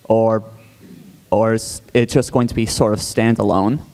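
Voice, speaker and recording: A man asking a somewhat halting fan question from the audience. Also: lowish voice, little pitch movement, a little mellow. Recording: echoey hall.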